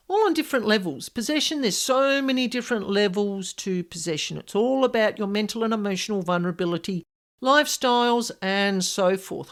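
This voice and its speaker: A woman hosting a podcast, telling personal stories and giving advice in an informal, emphatic monologue, repeating key words for stress. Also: medium pitch. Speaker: podcast host, paranormal specialist.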